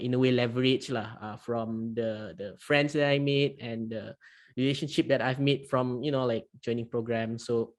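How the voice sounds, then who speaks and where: A young man with a Malaysian accent speaking as a panelist on a video call, rambling with many fillers and Malay particles. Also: mid-range voice. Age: young adult. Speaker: entrepreneur panelist. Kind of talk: virtual panel discussion.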